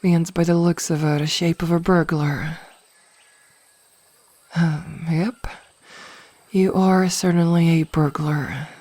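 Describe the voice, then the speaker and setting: Woman playing a hypnotic female mage, possessive, condescendingly sweet, lowish pitch, close dry sound, soft coaxing delivery, fantasy ASMR roleplay. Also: fairly even intonation, slow pace, pauses often, no room sound, heavily acted. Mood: curious, then amused, condescending.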